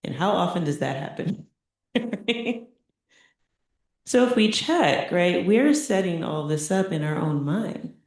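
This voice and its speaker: A woman with a low, dusky voice gives a relaxed, chatty meditation teaching. She keeps checking in with her listeners and laughs lightly between points. The sound rings around a very echoey room. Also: slow pace, long pauses. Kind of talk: conversational dharma talk.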